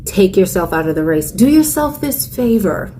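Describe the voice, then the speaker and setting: A woman's voice: mid-range voice, sing-song, moderate pace, dark tone. A woman in her forties speaks to camera in a candid, earnest way, telling her own story. She is a content creator with a mid-range voice, and a faint noise sits under the recording. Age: 40s. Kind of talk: personal reflective talk to camera.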